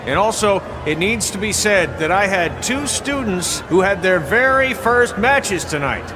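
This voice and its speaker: High-voiced veteran wrestling trainer. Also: male voice, animated, a little slow, noisy background. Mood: warm, teasing. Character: self-deprecating veteran male wrestling trainer, dry and candid. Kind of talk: video game cutscene acting.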